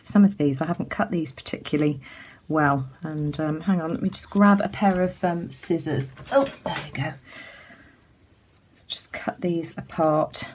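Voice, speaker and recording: A woman from the UK giving a casual craft tutorial as she works, chatty and full of ums, her voice a little croaky. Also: low voice, moderate pace, darkish tone, slight background noise. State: slightly croaky. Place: indoors at a craft table.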